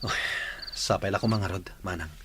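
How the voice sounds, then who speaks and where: A man's voice: sits low, fairly even intonation, a little fast, background noise, close to an everyday voice. A man with an Ilocano Filipino accent playing an uneasy, conscience-stricken younger brother in a radio drama. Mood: hushed, worried.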